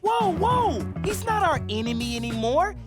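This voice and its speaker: A man voicing a sunny, excitable teenage turtle in a cartoon, upbeat and coaxing, with theatrical flourishes. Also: very high voice, moderate pace, over loud music. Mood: cheerful coaxing. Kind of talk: animated cartoon voice acting.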